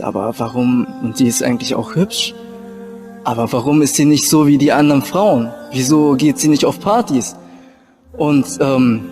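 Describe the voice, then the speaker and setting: A man's voice: mid-range voice, darkish tone. A young man from Japan who later lived in Germany, giving an informal personal testimony and often searching for words. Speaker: convert telling his story.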